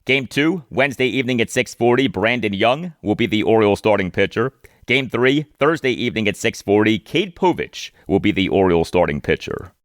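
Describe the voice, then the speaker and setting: A man's voice: fairly low pitch, expressive, brisk, no echo. Man hosting sports podcast, dry close sound. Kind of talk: scripted sports show narration.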